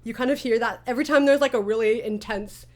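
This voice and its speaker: A woman chatting casually as an interview host and creator, telling stories in an animated way with quoted asides. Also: on the high side, fast.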